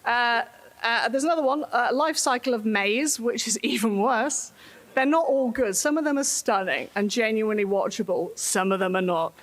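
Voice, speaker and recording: A woman's voice: fairly high pitch, normal speed. A woman giving a conference talk in a wry, humorous way, laughing often.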